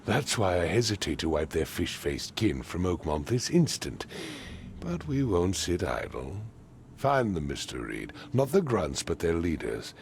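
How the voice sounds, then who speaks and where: A man playing a haughty, commanding patrician in a video game, with formal, pompous and deliberate phrasing. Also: sits low, sing-song, gravelly, slight background noise, exaggerated voice. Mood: stern, aggrieved. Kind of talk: video game cutscene acting.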